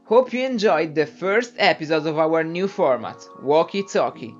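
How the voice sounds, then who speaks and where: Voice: mid-range voice, lively intonation, moderate pace. A narrator reading a scripted voice-over for a sports video. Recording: dull muffled sound, music bed.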